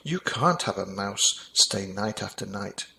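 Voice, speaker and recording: Guy doing a stern careful dad in a kids rhyme story, firm, bright n creaky. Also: on the low side, lively intonation, unhurried, slight background noise, exaggerated voice. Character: cautious, stern father startled by a mouse. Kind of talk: rhyming children's storybook reading.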